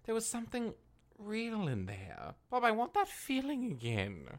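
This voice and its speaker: A woman's voice: fairly high pitch, wildly swooping pitch, unhurried, heavily acted. A woman playing a haughty, exasperated adventurer who is whiny and sarcastic, in a fantasy comedy audio drama. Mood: whiny protest.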